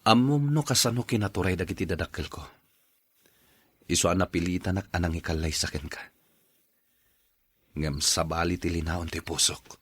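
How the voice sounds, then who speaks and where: A man with an Ilocano accent playing a dejected, dutiful son in an audio drama, in a husky voice. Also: low voice, normal speed, pauses often. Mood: apologetic. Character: dejected dutiful son forced into an unwanted marriage. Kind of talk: Ilocano radio drama acting.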